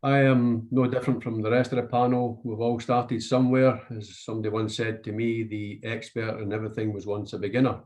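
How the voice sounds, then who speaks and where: A man's voice: on the low side, little pitch movement, brisk, few pauses, darkish tone. A middle-aged man, a managing director speaking on a panel, emphatic with some hesitant repeats. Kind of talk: panel talk to an audience.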